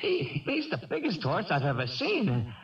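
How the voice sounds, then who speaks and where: Polite country boy, American, eager and plain-spoken, highly animated, muffled old radio recording. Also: moderate pace, far from the mic. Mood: awed, eager. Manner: plain-spoken, hesitant, wide-eyed. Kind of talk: 1970s radio drama acting.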